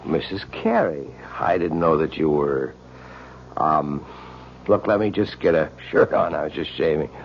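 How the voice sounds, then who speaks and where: Nervous, slippery practical joker, gravelly, halting, animated, vintage radio drama, muffled recording. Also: male voice, a bass voice, slight background noise, exaggerated voice. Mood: flustered. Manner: halting, stammering, evasive. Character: nervous, shifty office practical joker making excuses. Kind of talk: 1970s radio drama acting.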